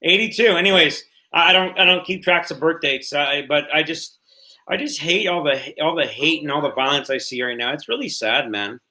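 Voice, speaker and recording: Host, late 30s, male, bright voice, slightly high, loose rambling delivery with casual swearing. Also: normal speed. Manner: rambling, swears freely, repeats himself. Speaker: podcast host. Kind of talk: casual livestream podcast chat.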